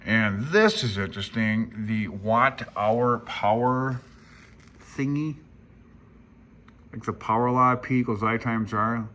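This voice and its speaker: A man's voice: mid-range voice, slow pace, sharp tone. A man hosting a casual hobbyist vlog, explaining things off the cuff in a rambling, folksy, self-deprecating and unsure way. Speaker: amateur radio hobbyist video host.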